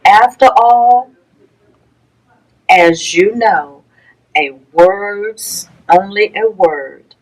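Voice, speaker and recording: Slow-paced woman reading a children's picture book. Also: on the low side, low-quality recording. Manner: deliberate, rhythmic reading of rhyming verse for young children. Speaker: librarian story-time reader.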